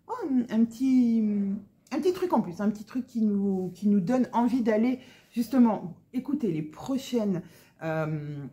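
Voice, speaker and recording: A woman giving a casual, enthusiastic spoken audiobook review, emphatic and often pausing to search for her words. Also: on the high side, mellow. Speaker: book reviewer.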